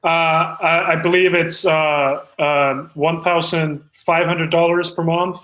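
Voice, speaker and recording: Man playing bright-voiced, hesitant, eager amateur screenwriter. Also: on the high side, fairly even intonation, slow pace, poor audio. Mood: polite grievance. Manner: hesitant, rambling, earnest. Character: eager, insecure amateur screenwriter, anxious for a break. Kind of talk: improvised comedy podcast role-play.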